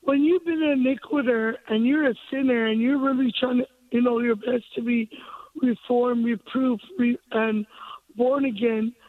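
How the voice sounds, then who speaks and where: A woman with a raspy voice calling a radio show, rambling and searching for words. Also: on the high side, steady tone, moderate pace. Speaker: caller. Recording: tinny phone line.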